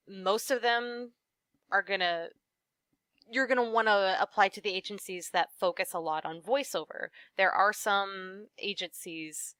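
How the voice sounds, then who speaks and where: A woman's voice: mid-range voice, bright, forceful tone, clean tone, no room sound. A young woman, a voice actress, chatting as an interview guest, laughing often and trailing off mid-thought. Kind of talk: casual interview chat.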